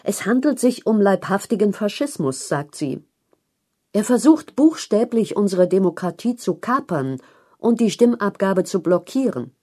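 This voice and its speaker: A woman narrating a written news feature in a measured, articulate read-aloud style. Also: medium pitch, big pitch swings, dark tone. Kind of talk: scripted narration of long-form journalism.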